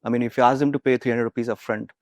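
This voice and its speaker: A man's voice: mid-range voice, steady tone, rapid-fire, a little mellow, no echo. A young man with an Indian accent answering as a founder in a business interview, often tagging statements with a checking question. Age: young adult. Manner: repeatedly tags statements with "right?". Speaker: startup founder, guest.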